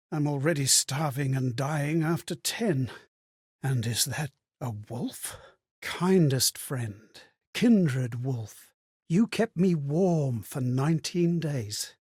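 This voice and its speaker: An actor voicing an earnest, melancholy male spirit with no memory of his own, speaking a video game monologue in formal, measured, theatrical phrases. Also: a little high, a little mellow, slightly rough, no echo, clean studio sound, full character voice. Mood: desperate, solemn. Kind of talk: dramatic scripted game monologue.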